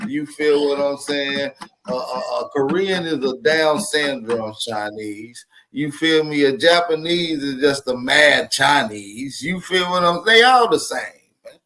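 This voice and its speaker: A man trades provocative jokes in casual podcast banter. He takes his time, drawing out his words slowly. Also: mid-range voice, lively intonation, bright, forceful tone. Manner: joking, provocative, drawn-out.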